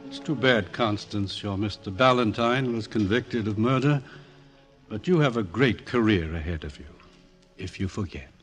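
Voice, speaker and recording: Low-voiced, suave, menacing older doctor played by a man. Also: moderate pace, close to an everyday voice. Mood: urbane. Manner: urbane, dry, condescending. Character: suave, menacing senior male psychiatrist, secretly murderous. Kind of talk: old-time radio drama acting. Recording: restored vintage radio, orchestral music behind.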